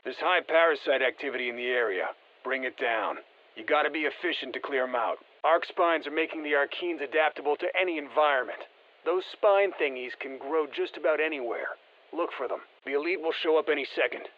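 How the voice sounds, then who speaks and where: Folksy soldier over muffled radio. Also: male voice, fairly high pitch, a little fast. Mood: steady, matter-of-fact. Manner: colloquial, clipped tactical commands. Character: gruff, folksy male soldier, confident squad operative. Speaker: video game character voice actor. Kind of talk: scripted game voicelines, mission briefing.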